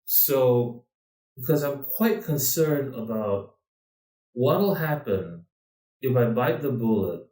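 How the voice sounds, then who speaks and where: A man's voice: medium pitch, lively intonation, slow, long pauses, warm tone, reverberant, recorded from a distance, poor audio. A man co-hosting a casual podcast, talking in a rambling way with many restarts and fillers. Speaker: podcast co-host.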